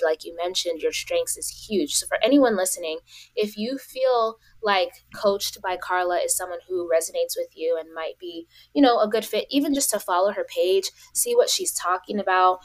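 Woman podcast host, energetic, casual interview chat. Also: medium pitch. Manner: energetic, hyped, talks straight to listeners.

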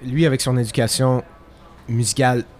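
A man's voice: medium pitch, little pitch movement, a little fast. Québécois man mixing French and English. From Quebec, Canada. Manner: switches between French and English, frequent restarts. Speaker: musician guest. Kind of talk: casual conversational interview.

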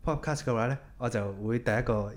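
A man's voice: medium pitch, brisk. A man hosting a casual podcast, chatting in an easygoing way and mixing English words into his talk. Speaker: podcast host.

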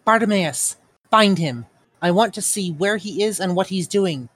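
Casting: woman playing a short-tempered boy magician, clipped and demanding, slightly husky. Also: mid-range voice, lively intonation, a little slow. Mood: curt. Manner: curt, snappish. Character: impatient, sharp-tongued boy magician.

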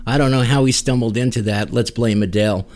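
A man's voice: medium pitch, brisk, darkish tone. A man hosting a casual music podcast, chatting in a joking, rambling way. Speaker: podcast host.

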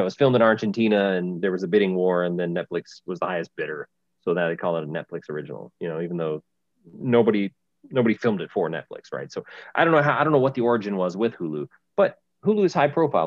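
Low-voiced guy co-hosting a podcast, rambles and restarts a lot, dry dull lo-fi sound. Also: no room sound. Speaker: podcast co-host. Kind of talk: casual chat.

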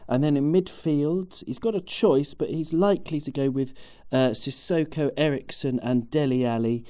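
A male podcast host with a mellow, warm-toned voice, chatting loosely and rambling with frequent fillers as he puts questions to his panel. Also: a little high, normal speed. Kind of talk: casual football chat.

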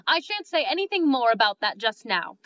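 A woman's voice: fairly high pitch, big pitch swings, fairly quick, pushed and bright, no room sound. A woman playing a prickly, fault-finding man in a cold read of a comic play, haughty and argumentative. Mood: critical, smug. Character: prickly, fault-finding man quarrelling with his lady friend. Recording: slightly dull lo-fi recording.

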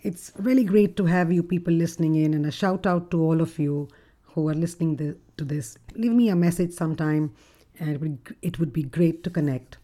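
A woman with a deep, gruff voice hosting a reflective solo podcast as a relationship coach, speaking in a measured, thoughtful way. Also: dark tone. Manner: measured, thoughtful delivery with occasional fillers.

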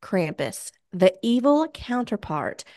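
An American woman hosts a spooky storytelling podcast in a casual, bantering style. Her pitch is average for a woman and the sound is dry, with no room to it. She is irreverent and jokes and swears freely as she narrates. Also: big pitch swings, slow pace, a little mellow, dry recording. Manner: irreverent, profane, joking. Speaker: podcast host telling spooky legends.

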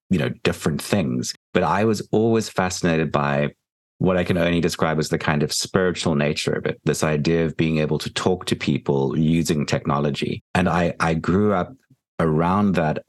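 A man in his forties with a South African accent, a podcast guest answering reflectively, with many ums and restarts. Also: a bass voice. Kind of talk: podcast interview.

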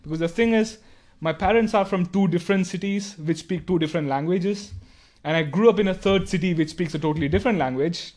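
A man's voice: sits high, a little fast, hardly any pauses, darkish tone. A man with an Indian accent, a native Tamil speaker, chatting casually on a podcast. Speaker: podcast guest or co-host. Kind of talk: casual chat.

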